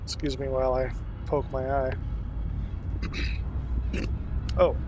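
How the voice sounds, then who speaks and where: A man's voice: medium pitch, flat delivery, slow pace, poor audio. Man streaming, getting over a cold. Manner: rambling, many ums and uhs. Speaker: game streamer. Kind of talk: casual live-stream commentary.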